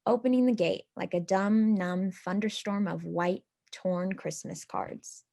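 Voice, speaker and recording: Lady reading a story for readers theatre, gravelly mellow voice. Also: medium pitch, measured pace. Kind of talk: literary story reading, scripted.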